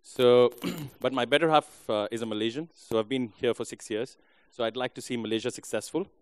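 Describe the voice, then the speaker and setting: Indian accent guy in the crowd asking a q, halting, lots of uh, dry close sound. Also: mid-range voice, smooth, no room sound. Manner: hesitant, with fillers and restarts. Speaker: audience member asking a question.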